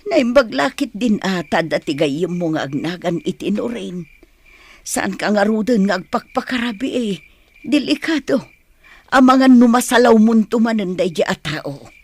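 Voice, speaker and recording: Lady playing a worried mom in an audio drama, husky voice, fretting and pleading over her grown son. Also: mid-range voice, lively intonation, moderate pace, darkish tone. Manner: fretful, pleading. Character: anxious, protective mother of a grown son. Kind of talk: Ilocano audio drama acting.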